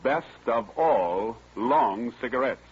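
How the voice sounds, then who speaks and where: A man working as a radio announcer, reading scripted copy in a formal, measured 1950s style. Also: medium pitch, animated, slightly rough. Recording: muffled old radio recording.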